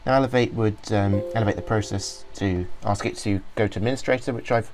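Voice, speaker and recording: A man's voice: lowish voice, steady tone, fast talker, music in the background. A man rambling through a casual walkthrough of a program he is reviewing, full of ums and restarts. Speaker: software reviewer. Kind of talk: casual screen-recorded software walkthrough.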